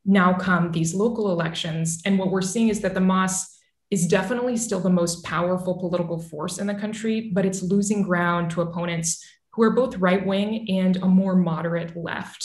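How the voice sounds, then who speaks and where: Woman, thirties, lowish pitch, articulate, measured political analysis, echoing video call audio. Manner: articulate, measured, structured explaining. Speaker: newsletter author and analyst guest. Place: small home room with bookshelf, indoors.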